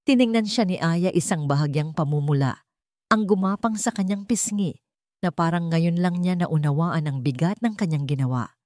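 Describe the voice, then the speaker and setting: A woman's voice: fairly low pitch, normal speed, darkish tone, dry recording, studio quality. A woman with a Filipino accent reading a scripted dramatic story as an audio narrator.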